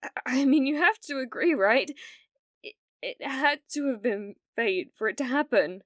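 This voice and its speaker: A British woman playing a desperate, delusional, lovesick streamer in an ASMR roleplay, pleading haltingly and slipping into breathy whispers. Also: high voice, animated, dry recording, clean studio sound. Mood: frantic, pleading.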